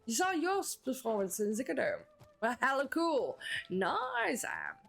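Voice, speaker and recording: A woman's voice: sits high, wildly swooping pitch, measured pace, creaky, a bit bright, faint music behind. A young Dutch woman with a Dutch accent, chatting with viewers while streaming a game. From Netherlands. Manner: chatty, answers viewers, bursts of laughter. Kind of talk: live-streamed gameplay chat.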